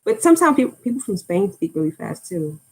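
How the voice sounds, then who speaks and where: A woman's voice: medium pitch, very animated, a little fast, darkish tone, slightly rough, poor audio. An American woman chatting casually on a livestream, rambling and drifting off topic with lots of fillers. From United States. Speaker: language-learning YouTuber.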